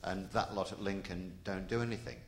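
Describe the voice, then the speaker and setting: A man's voice: low-pitched, steady tone, fairly quick, reverberant, a little hiss or hum. A man at a church conference raising a frank, wry question from the floor. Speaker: conference delegate.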